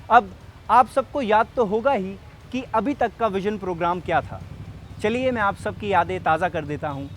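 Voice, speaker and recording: A man's voice: high-pitched, fairly bright tone, slight background noise. A man playing an earnest, persuasive sales rep, explaining things directly to the listener in a scripted training film. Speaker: presenter and sales representative. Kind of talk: scripted training film narration and role-play.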